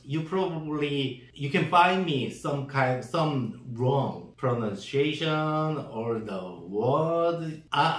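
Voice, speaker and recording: Slow, halting Korean-accented man, echoey room. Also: medium pitch, a bit bright. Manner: halting, searching for words, many fillers and restarts. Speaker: shoe seller and customizer. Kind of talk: casual product demo. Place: indoor room, green-screen backdrop. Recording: roomy echo, distant mic.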